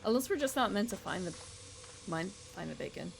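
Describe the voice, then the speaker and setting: A woman's voice: mid-range voice, big pitch swings, fairly quick, vocal fry, a little hiss or hum. An American woman giving casual, rambling live game commentary as a streamer, chatting informally with viewers. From United States.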